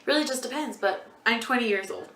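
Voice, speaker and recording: A woman's voice: a little high, fairly quick, a bit bright, faint music behind. A twenty-year-old woman answering viewer questions as a beauty vlogger in a casual, rambling way, full of ums and likes. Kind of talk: casual Q&A chat. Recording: echoey room.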